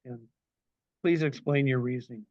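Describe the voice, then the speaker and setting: A man moderating a candidate forum, reading prepared questions in a plain, steady way. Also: mid-range voice, moderate pace, no echo, dull audio.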